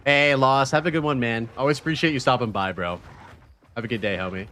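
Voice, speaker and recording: A man in his thirties streaming a video game live, chatting casually with his viewers and reacting excitedly with slang and swearing. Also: mid-range voice, brisk. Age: 30s. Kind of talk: casual live-stream chat while gaming.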